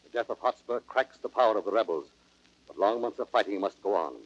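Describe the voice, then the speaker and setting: A man's voice: on the low side, fast, faint music behind. A man narrating an audio play in a grave, formal, dramatic storytelling style. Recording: dull, band-limited old record sound.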